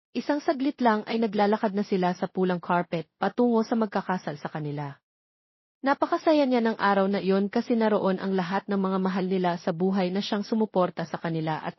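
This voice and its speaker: A woman's voice: medium pitch, slightly rough, dry recording, dull audio. A woman reading a scripted romance story as its narrator.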